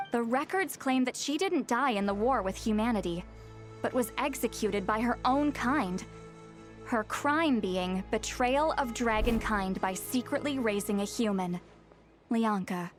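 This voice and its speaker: Woman playing a poised scholarly game character, higher-pitched. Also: faint music behind, lightly acted. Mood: composed, explaining. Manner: measured, articulate, precise. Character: poised, scholarly young woman, calm and secretive. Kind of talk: video game dialogue, expository.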